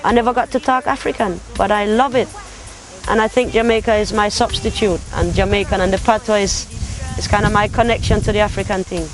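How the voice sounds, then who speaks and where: A woman's voice: mid-range voice, steady tone, a little fast, rough recording. A young woman from Denmark speaks in a talkative, casual way, her English mixed with patois turns of phrase. She sounds like a competition finalist telling her own story. Faint music plays behind her. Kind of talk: casual interview.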